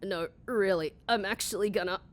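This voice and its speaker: A woman's voice: medium pitch, normal speed, noisy background, natural voice. A woman playing a hungover, sarcastic office worker, irritable and blunt, in a comedy audio drama. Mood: queasy, sardonic. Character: grumpy, hungover, sarcastic woman working weekend office shift.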